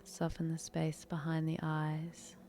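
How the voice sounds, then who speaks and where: A woman leading a guided meditation in a soft, breathy, unhurried, soothing manner with long pauses. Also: deep voice, completely flat delivery, a little hiss or hum.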